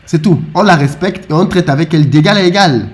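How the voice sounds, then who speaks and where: Animated man with stammered restarts. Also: fairly high pitch, brisk. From Africa. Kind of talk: casual discussion.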